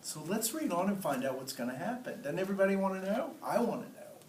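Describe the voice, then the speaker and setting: A man's voice: sits high, sing-song, quick pace, a little mellow. A man teaching a class, reading a story aloud expressively and putting encouraging questions to the listeners. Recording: roomy classroom, distant mic, faint noise.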